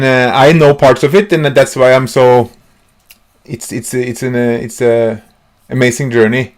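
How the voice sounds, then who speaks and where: Casting: male host, casual interview, rambling, restarts often. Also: medium pitch. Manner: rambling, stumbling questions.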